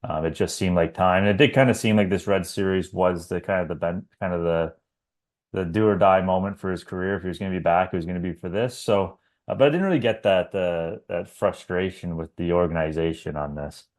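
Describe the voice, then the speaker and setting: A man's voice: low voice, a little fast, a little mellow. A man in his thirties from Canada, co-hosting a casual baseball podcast and talking loosely with many fillers. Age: 30s. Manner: conversational, rambling with fillers. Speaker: podcast co-host. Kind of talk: casual sports chat.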